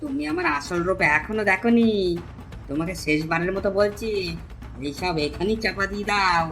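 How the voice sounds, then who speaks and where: A woman's voice: medium pitch, lively intonation, moderate pace, a bit bright. A woman voicing a menacing, thieving male village bully in a Bengali cartoon, taunting and threatening. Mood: angry, menacing. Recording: clear background noise and ambience.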